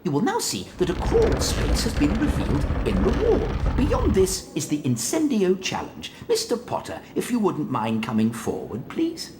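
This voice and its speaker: Prim wizard teacher, swooping sing-song delivery, fussy and formal. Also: male voice, on the high side, wildly swooping pitch, normal speed, hardly any pauses, noisy background. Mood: formal instructing. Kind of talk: video game cutscene acting.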